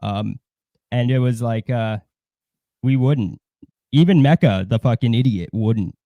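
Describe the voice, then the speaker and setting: A man in casual livestream chat, blunt and disdainful, swearing heavily and haltingly circling back over his points. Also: medium pitch, no room sound, muffled recording. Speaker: co-host of a livestream.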